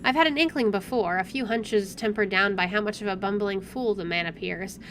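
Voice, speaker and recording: A woman's voice: mid-range voice, brisk, fairly bright tone, a little hiss or hum. A woman reading visual novel narration aloud with wry, dramatic expression over game music. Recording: music playing behind the voice.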